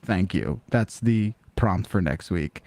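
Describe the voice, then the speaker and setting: A man's voice: deep voice, slow pace, darkish tone, dry recording. A man hosting a call-in podcast in casual chat, wry and joking, often restarting mid-sentence. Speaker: podcast host.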